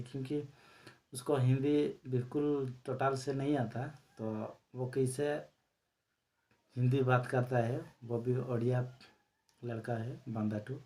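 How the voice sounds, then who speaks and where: A man hosting his own channel, chatting casually to viewers and explaining a recorded call. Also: mid-range voice. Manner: relaxed, explains slowly with fillers. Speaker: channel host.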